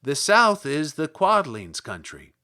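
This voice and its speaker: A man reading aloud, voicing a small Munchkin who speaks loudly and earnestly. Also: fairly high pitch, wildly swooping pitch, takes it slowly, smooth, exaggerated voice.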